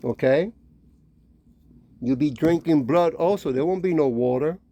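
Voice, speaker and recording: Man preaching informally in a bright voice. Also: fairly high pitch, measured pace. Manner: conversational, peppered with "right?" and "okay?" tags; admonishing, warning. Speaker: religious street-style preacher and teacher. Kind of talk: informal sermon with scripture reading.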